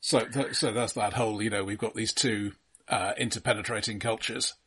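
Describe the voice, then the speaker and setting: Middle aged british bloke talking films, lowish slightly rough voice, measured and analytical. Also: male voice, steady tone, bright, forceful tone. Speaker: film discussion podcast co-host. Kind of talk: casual film discussion.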